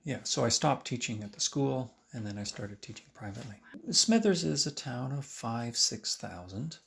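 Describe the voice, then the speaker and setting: A man talking casually in an interview as a teacher looking back on his work, with an expressive way of telling it. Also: medium pitch, normal speed, creaky. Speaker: private violin teacher. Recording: roomy, distant mic, faint noise.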